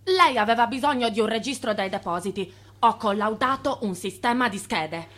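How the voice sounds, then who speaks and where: Diligent secretary, highish voice. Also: female voice, animated, normal speed, fairly bright tone. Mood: earnest, questioning. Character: diligent female office secretary to a boss. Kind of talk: Italian radio play acting.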